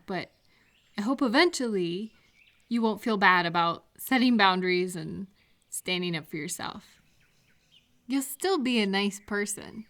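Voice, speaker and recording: A woman playing a supportive, gentle friend in an intimate, casual audio roleplay, speaking in a reassuring, unhurried way to the listener. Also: medium pitch, close to an everyday voice. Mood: warm, sincere.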